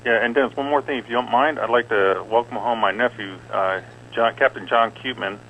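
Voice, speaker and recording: A man's voice: mid-range voice, brisk, a little hiss or hum. A middle-aged man talking casually as a guest in a radio interview. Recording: dull, lo-fi radio audio.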